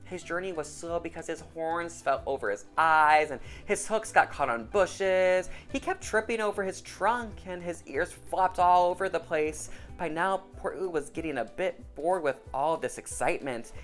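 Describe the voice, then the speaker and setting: A woman reading a children's storybook aloud in an animated storytelling style. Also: on the low side, normal speed, soft background music.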